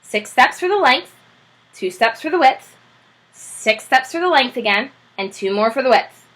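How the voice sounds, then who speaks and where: A woman's voice: sits high, moderate pace, low-quality recording. A woman teacher reading a children's chapter book aloud, telling it expressively. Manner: expressive, animated storytelling.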